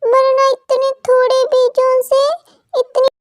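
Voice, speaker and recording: A woman's voice: extremely high pitch, completely flat delivery, slow pace, no room sound, clean studio sound. A woman voicing a childlike, earnest young girl bird in a children's cartoon. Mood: eager. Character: young orphaned sister birds, childlike and earnest. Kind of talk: Hindi children's cartoon dialogue.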